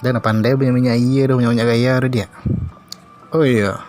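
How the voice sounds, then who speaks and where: A man's voice: medium pitch, flat delivery, normal speed, darkish tone, soft background music, natural voice. A man with a Minangkabau accent voicing a doting, sweet-talking husband in a comedy cartoon. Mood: admiring, tender. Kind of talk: comic cartoon dialogue.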